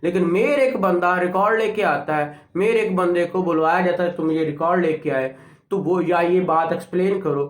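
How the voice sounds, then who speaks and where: A man with a Pakistani accent giving a law lecture, mixing in English terms, in an emphatic, didactic way with key phrases repeated. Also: high voice. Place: echoey indoor room. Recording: echoey room.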